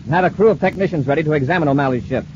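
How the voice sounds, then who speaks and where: Fast-talking, commanding space patrol commander played by an actor. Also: male voice, fairly high pitch, close to an everyday voice. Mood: urgent, decisive. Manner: crisp, decisive, commanding. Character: brisk, authoritative male space patrol commander. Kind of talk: old-time radio serial acting. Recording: muffled old radio recording, faint hiss.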